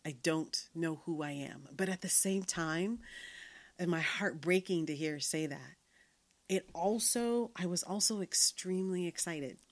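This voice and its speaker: Low-voiced earnest woman podcast host. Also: a little slow. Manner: earnest, many fillers and restarts. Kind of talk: reflective casual monologue.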